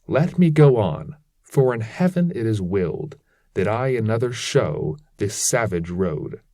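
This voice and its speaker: A man's voice: on the low side, animated, unhurried, mellow, no echo, clean studio sound. A man voicing a stern, fearless poet guide in an epic poem reading, with a commanding, declamatory delivery.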